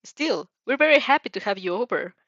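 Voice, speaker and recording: A woman's voice: on the high side, lively intonation, brisk, fairly bright tone, no echo, lo-fi sound. A woman voicing a jovial, sentimental, welcoming father in a story reading. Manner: jovial, hospitable.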